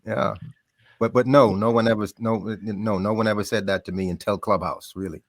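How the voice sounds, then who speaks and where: A middle-aged man from the Bahamas, a podcast guest telling stories about growing up in a lively, casual way. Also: on the low side, normal speed, dry recording, studio quality. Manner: animated, laughs often, trails off into quieter asides. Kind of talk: casual storytelling.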